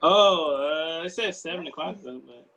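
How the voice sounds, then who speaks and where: A man's voice: on the high side, normal speed. A man chatting casually as he moderates a discussion after a table read. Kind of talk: casual chat. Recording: call audio with clear background noise.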